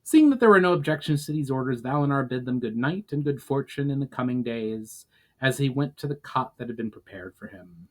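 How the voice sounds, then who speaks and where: A man reads fantasy fiction aloud in a dramatic storytelling style. His voice sits at a medium pitch for a man, and he narrates like an audiobook reader. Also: lively intonation, brisk. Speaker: author reading own fiction aloud.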